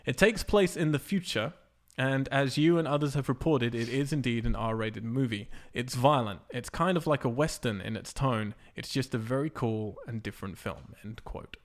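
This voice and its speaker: Man, host, casual movie news chat, middle pitch, playful, rambling, joking. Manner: playful, rambling, quick to joke.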